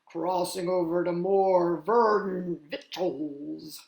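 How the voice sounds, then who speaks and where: A woman voicing a big, fearless male billy goat with a gruff, emphatic delivery for a children's storybook. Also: on the low side, fairly even intonation, slow pace, a little mellow. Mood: confident. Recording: roomy, echoing sound.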